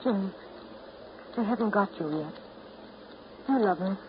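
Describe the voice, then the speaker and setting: An actress playing an earnest, devoted woman in love in a 1930s radio drama, speaking with quiet, urgent insistence. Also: medium pitch, lively intonation, unhurried, lightly acted. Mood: searching, anxious. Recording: muffled 1939 radio broadcast with clear hiss.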